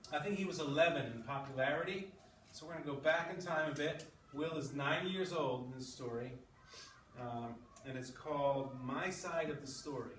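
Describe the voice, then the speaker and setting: A man's voice: a little high, fairly bright tone, recorded from a distance. A man teaching a class as an English teacher, explaining things and checking in often with short questions. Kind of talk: classroom teaching and read-aloud. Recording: echoey classroom, off mic, background noise.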